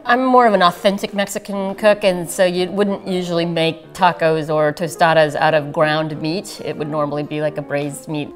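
A middle-aged woman, a chef, speaking thoughtfully in a documentary interview in a living room. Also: low voice.

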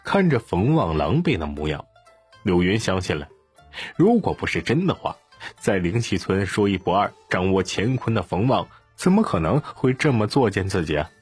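Male audiobook narrator, low-pitched and slightly husky, scripted storytelling over faint music. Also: very animated, moderate pace.

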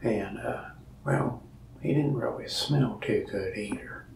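A young man in a soft-spoken ASMR roleplay as a shop salesman, whispering and rambling in a folksy storytelling way. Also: on the low side, slow pace, gravelly, roomy sound, far from the mic, slight background noise.

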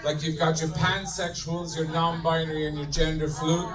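Irish stand-up comic, middle-aged man, high-pitched and deadpan, heard from across the club over crowd laughter. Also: moderate pace. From Ireland. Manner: deadpan, swears freely, addresses audience as folks. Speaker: stand-up comedian. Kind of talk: stand-up comedy routine. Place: club stage in front of a laughing audience. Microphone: handheld mic, filmed from the audience. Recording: distant room recording with crowd laughter.

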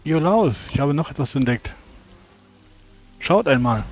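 A man playing a resigned, gravely afflicted adventurer in a tabletop game, speaking in a subdued, halting way. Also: on the high side, expressive, faint music behind.

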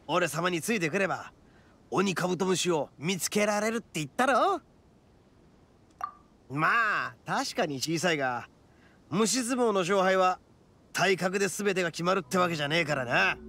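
Hot-blooded oni braggart, high-pitched for a man, gravelly edge, rough masculine speech, anime game. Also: lively intonation, moderate pace, long pauses, pushed and bright, full character voice. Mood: excited, boastful. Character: boisterous, cocky young male oni, hot-blooded braggart. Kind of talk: anime-style game voice acting. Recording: studio voice over game music. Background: background music.

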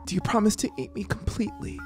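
A strange, wounded young girl, played by a male narrator in an audiobook. The voice is pitched high, hesitant and pleading, over a musical soundscape. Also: quick pace, music in the background, natural voice.

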